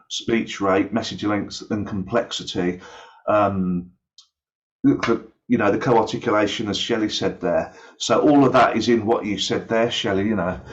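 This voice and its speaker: A man's voice: fairly low pitch, muffled recording. A man explaining his points through examples in an online discussion about his field, with a hesitant, searching delivery. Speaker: aviation English course developer.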